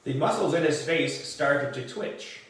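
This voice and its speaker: A man reading a children's story aloud in an expressive, animated and deliberate style. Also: on the high side. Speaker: book reader. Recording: very echoey room, distant mic, faint noise.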